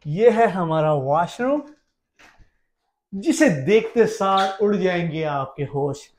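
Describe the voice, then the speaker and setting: A man in his thirties giving a casual vlog tour in an animated, exclamatory showman's manner. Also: high voice, slow pace. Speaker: travel vlogger. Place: outdoors on a wooded road, then inside a cottage.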